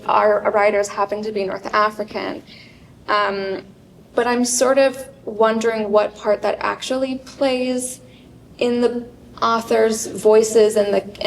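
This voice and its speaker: A woman moderating a literary panel, asking a long question in a halting way and searching for her words. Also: gravelly. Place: event stage before an audience. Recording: distant mic in a large room, faint rumble.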